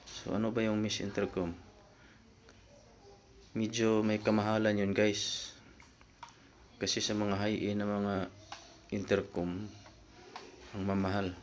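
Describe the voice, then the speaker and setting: A man with a fairly low voice talks in a casual, explanatory vlog style. He speaks informally and directly to his viewers, as if walking friends through a topic. There is faint ambient noise behind him. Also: flat delivery, takes it slowly, long pauses. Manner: informal, explanatory, often addressing viewers as guys. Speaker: vlogger.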